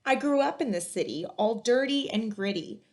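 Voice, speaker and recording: A woman with a fairly high voice hosts a read-aloud for children. She phrases things clearly, in the manner of someone telling a picture-book story to young listeners. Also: animated, normal speed, darkish tone. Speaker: museum educator and read-aloud host.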